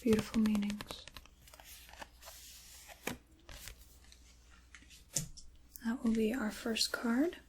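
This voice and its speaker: A woman voicing an ancient, sly dark witch in an ASMR roleplay, in a breathy whisper with deliberate, theatrical, old-fashioned phrasing. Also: on the high side, steady tone, background noise, lightly acted. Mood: ominous, incantatory.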